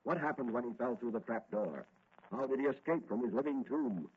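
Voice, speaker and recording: A man's voice: mid-range voice, fairly quick, slightly rough. A man announcing and narrating a radio serial in an emphatic, theatrical 1940s style. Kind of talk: 1940s dramatic scripted announcing. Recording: noisy lo-fi vintage radio recording.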